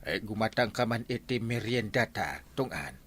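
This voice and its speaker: An actor playing a jovial village captain who cadges free snacks, in an Ilocano comedy drama. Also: male voice, on the low side, animated, normal speed, a bit bright, breathy edge. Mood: jovial, wheedling.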